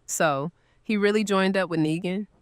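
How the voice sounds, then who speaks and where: A woman's voice: medium pitch, normal speed, slight background noise, natural voice. A woman playing a kind, earnest newcomer's host in an audio drama, speaking casually and sympathetically. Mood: curious, casual. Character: kind, grateful woman welcoming a newcomer, gently guilty.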